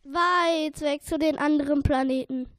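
Woman voicing a whiny, high-pitched little earworm. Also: steady tone, a little slow, slight background noise. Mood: delighted. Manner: exclaiming, plaintive, childlike. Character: tiny lost male earworm, whiny and excitable. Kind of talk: children's audio story character.

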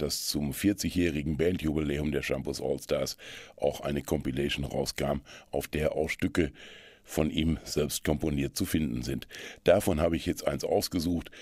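A man's voice: rumbling low, darkish tone, no room sound. A man hosting a radio magazine on music and local events, talking in a chatty, explanatory way. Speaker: radio host.

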